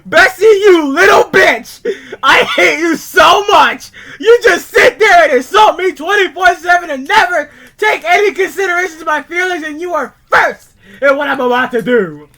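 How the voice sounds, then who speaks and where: A man's voice: very high voice, sharp tone, raised voice, voice pushed up, full character voice. A man voicing a despairing cartoon ferret who bitterly screams and sobs at his friends, dragging out his words. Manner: screaming, sobbing, drawn-out words. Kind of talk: melodramatic reading of a cartoon suicide note.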